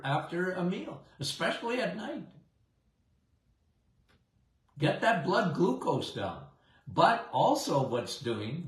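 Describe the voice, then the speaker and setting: Slow chatty man with a big voice. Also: on the high side. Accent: Canadian. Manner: chatty, rambling, says "okay?" and "guys" often. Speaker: health show host. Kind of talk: casual live health talk. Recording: roomy echo.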